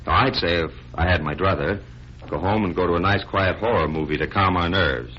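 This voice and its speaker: A man's voice: sits low, a little fast, breathy edge. A man playing a comically nervous, hesitant, wisecracking sidekick in a 1940s radio drama. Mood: reluctant, joking. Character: jittery, wisecracking, cowardly male sidekick. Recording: muffled, noisy 1940s radio recording.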